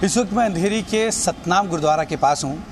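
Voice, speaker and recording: Male field reporter, high-pitched voice, explanatory on-location delivery, clearly noisy city exterior. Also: fairly quick. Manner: explanatory, demonstrative, addressing viewers directly. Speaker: television news field reporter. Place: outdoors on city streets. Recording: noisy outdoor urban location with traffic.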